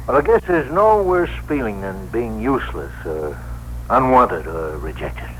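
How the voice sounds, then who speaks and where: Weary middle-aged cabbie. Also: male voice, medium pitch, sing-song, unhurried. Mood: weary, bitter. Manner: hesitant, colloquial, earnest. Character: weary, plain-spoken New York cab driver, philosophical. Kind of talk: 1960s radio drama acting. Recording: noisy, humming old radio broadcast.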